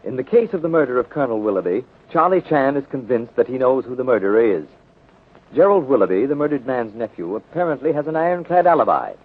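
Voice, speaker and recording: A man's voice: medium pitch, big pitch swings, fast. A man narrating a mystery serial as a formal, dramatic 1930s radio announcer. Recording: tinny narrow-band 1930s radio recording.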